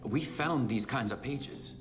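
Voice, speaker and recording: An actor playing an uneasy small-town sheriff, hesitant and apologetic, in a game cutscene. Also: male voice, mid-range voice, a little slow, reverberant, in a large hall, music in the background, natural voice. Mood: uneasy, defensive. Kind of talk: video game cutscene acting.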